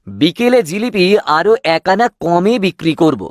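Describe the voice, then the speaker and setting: A man's voice: sits high, very animated, normal speed, no echo, studio quality. A man voicing a young, sly and cocky sweet-shop owner in a cartoon. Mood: sly, smug. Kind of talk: cartoon voice acting.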